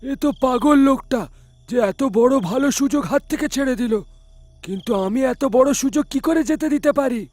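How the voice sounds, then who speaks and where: A man's voice: extremely high pitch, moderate pace, darkish tone, no room sound, faint music behind. A man playing a greedy friend of a rickshaw driver in a folktale, eager and exclamatory. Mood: greedy, scheming.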